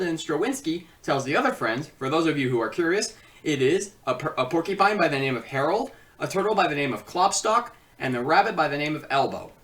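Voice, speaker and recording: A woman's voice: deep voice, a bit bright, far from the mic. A woman giving a casual, comedic review with sarcastic, rambling asides. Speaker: video reviewer.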